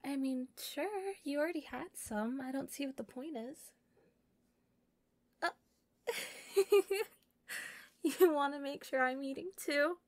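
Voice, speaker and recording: A woman playing a shy, doting, possessive yandere captor in an intimate roleplay audio, softly and breathily. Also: high-pitched, takes it slowly, long pauses, no room sound. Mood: shy, flustered. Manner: soft, breathy, often whispering, giggly, stammering when flustered.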